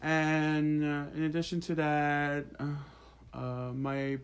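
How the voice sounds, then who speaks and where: A man's voice: fairly high pitch, completely flat delivery, slow, smooth. A young man from American Samoa answering interview questions in an earnest, explanatory, reflective way. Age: young adult. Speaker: interview guest.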